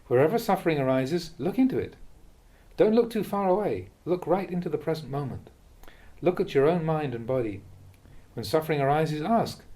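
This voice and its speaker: A man reading a Buddhist teaching aloud as a monk, in a measured, deliberate way with emphasis on key phrases. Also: on the high side, mellow. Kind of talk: read-aloud dharma talk.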